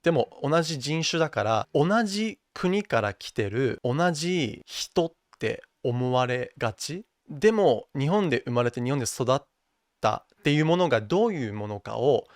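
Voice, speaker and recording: A man's voice: mid-range voice, expressive, quick pace, dry recording, studio quality. A young man born and raised in Japan, chatting as a podcast guest, thinking aloud with many fillers and restarts and switching between English and Japanese. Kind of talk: casual chat.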